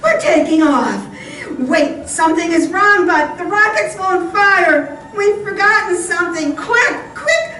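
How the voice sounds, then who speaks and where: A woman plays an excitable space heroine in radio-serial style. Her very high, bright voice is urgent and melodramatic, echoing from a distance. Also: measured pace, heavily acted. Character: excitable young female space-adventure heroine. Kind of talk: old-time radio serial acting. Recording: echoey hall, distant mic, faint noise.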